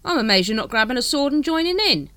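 A woman's voice: sits high, sing-song, fast, a bit bright, no room sound, voice pushed up. A woman voicing a weary, plainspoken male city watch captain with a gloomy, dry manner.

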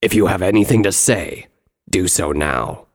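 A narrator voicing a stern, menacing male warrior pony captain with a growling, husky voice and a grave, commanding manner. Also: low voice, lively intonation, normal speed, no room sound, clean studio sound, voice pushed down. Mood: grim resolve.

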